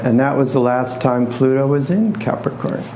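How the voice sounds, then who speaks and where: A soft-voiced man giving an informal astrology lecture to a live group, halting and wryly humorous, with pauses and repeats. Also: mid-range voice, slight background noise. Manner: wry, self-deprecating humor.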